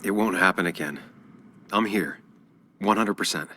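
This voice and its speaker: An actor playing a brooding, cautious journalist-detective with an American accent and a terse, serious manner in a video game. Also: male voice, low-pitched, moderate pace, pushed and bright, in a large hall. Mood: guarded, focused.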